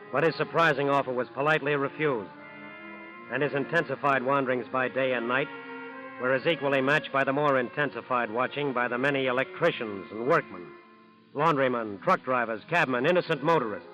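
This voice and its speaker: A man with an American accent narrating a 1940s radio crime drama in a measured, emphatic, solemn style. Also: mid-range voice, sharp tone, natural voice, low-quality recording. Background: music.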